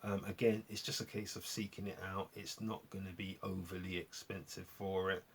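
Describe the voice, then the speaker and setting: A man hosting a vlog, chatting casually and appreciatively in a rambling, gentlemanly way as they review records. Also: deep voice, little pitch movement, moderate pace, slight background noise. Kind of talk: casual album review chat to viewers.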